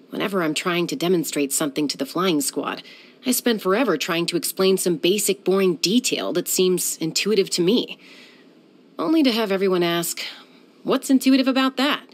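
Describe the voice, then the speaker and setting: An actress voicing a cool, confident young woman who is a sky-hunter and peacemaker in a video game, speaking in a calm, composed, measured way. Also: lowish voice. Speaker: game character.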